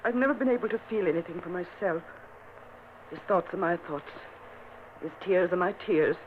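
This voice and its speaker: A woman's voice: lowish voice, lively intonation, moderate pace, lightly acted. Casting: a woman voicing a dying lady of the manor, fragile and pleading, heard over a thin phone-like radio line with hiss. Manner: breathy, pleading, intense. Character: frail, feverish young noblewoman in dread. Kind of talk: vintage radio drama acting. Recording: tinny, hissy old radio recording.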